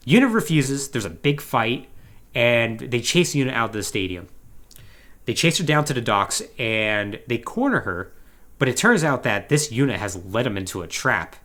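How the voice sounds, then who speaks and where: A man co-hosting a podcast, giving a casual, comedic plot recap in an emphatic, conversational way with wry asides. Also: mid-range voice. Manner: animated, emphatic storytelling. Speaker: podcast co-host.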